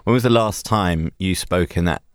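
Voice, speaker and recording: Male radio interviewer, very low voice, dry booth. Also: moderate pace, no echo.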